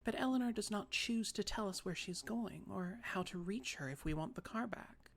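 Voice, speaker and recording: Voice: mid-range voice, animated, moderate pace, gravelly, voice pushed up. An audiobook reader voicing a prim, disapproving, self-righteous sister with a lifted, precise voice. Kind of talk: audiobook character voice.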